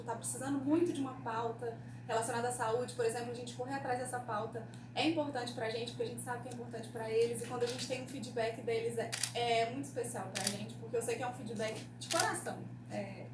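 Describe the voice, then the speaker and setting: A young Brazilian woman, a radio producer, talking casually and personally in an interview. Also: on the high side. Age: young adult. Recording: echoey room, distant mic, background noise.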